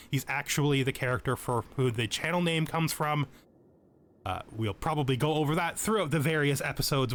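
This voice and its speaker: A man's voice: on the high side, fairly bright tone, a little hiss or hum. A man giving a tutorial-style gaming commentary, moving between reading out game text and explaining it informally. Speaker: host of a gaming channel.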